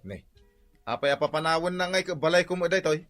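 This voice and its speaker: A man's voice: a little high, brisk, fairly bright tone, soft background music, natural voice. A man playing a cheeky, panicky young husband who yelps and pleads in a comic radio drama. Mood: panicky pleading. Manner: yelping, exclaiming, pleading. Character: cheeky, panicky young husband dodging his wife's fury.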